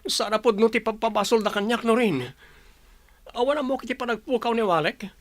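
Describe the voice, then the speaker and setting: A man's voice: very high voice, brisk, no echo. A man playing a callous, scheming young groom in an Ilocano radio drama, with a Filipino accent. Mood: defensive, demanding.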